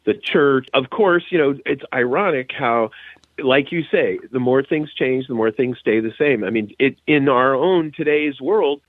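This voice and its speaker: A man's voice: mid-range voice, measured pace, no echo. Middle-aged man on a thin phone-like line. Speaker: podcast guest. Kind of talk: casual chat. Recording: narrow, phone-like call line.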